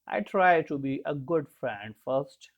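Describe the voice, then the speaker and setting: A storyteller voicing a gentle, wise female fox in a bedtime story, serene and unhurried. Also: medium pitch, lively intonation, highs cut off.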